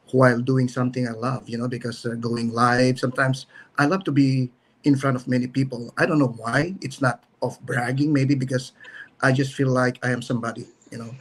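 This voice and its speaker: A man's voice: mid-range voice, poor audio. A married man chatting casually and jokingly, rambling a little with fillers. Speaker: guest, businessman. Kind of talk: casual livestream chat.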